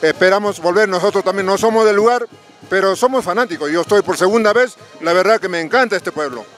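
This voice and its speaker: A man's voice: high voice, quick pace, fairly bright tone. A man doing casual, joking street-style interviews at an outdoor festival. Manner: jocular, teasing. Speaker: roving interviewer at a village fiesta. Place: outdoors at a village festival lunch. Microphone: handheld microphone. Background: music and outdoor sounds.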